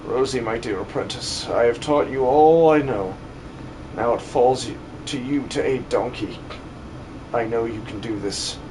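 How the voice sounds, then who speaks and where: A man voicing a gentle old pastor on his deathbed in a fantasy game fan dub, speaking slowly and solemnly in halting phrases. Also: mid-range voice, noisy background, lightly acted. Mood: tender, fading.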